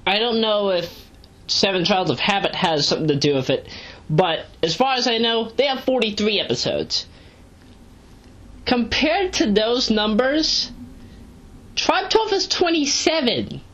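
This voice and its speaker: A woman's voice: mid-range voice, expressive, a little slow, fairly bright tone. A woman giving casual, unscripted review commentary as an amateur reviewer, rambling through digressions and emphatic asides. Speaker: amateur web series reviewer. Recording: dull lo-fi home recording.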